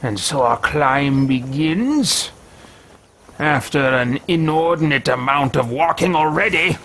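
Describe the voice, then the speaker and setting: A man's voice: on the high side, lively intonation, takes it slowly, fairly bright tone, a little hiss or hum. A man in his thirties roleplaying a haughty, pompous character over gameplay, talking into a headset at home. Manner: pompous, theatrical, sly asides. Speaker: let's play host voicing his character. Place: home room with bookshelves.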